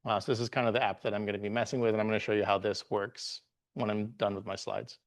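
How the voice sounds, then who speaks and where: A man in his forties, from the Bahamas, giving a live tech meetup talk as a guest presenter, relaxed and halting with frequent "uh"s and restarts. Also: lowish voice. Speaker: guest presenter, software engineer.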